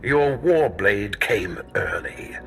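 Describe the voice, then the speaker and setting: Casting: contemptuous Sith master, game villain; low, unhurried, hard-edged, cold. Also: male voice, animated, creaky, fairly bright tone, soft background music, full character voice. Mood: contemptuous lecturing. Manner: cold, deliberate, lecturing. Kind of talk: video game voice acting.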